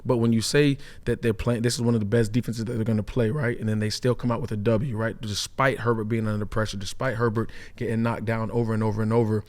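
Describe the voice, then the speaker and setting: Fast-talking American man on sports talk. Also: lowish voice, little pitch movement. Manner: repeats phrases for emphasis, checks in with "right?". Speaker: sports show panelist.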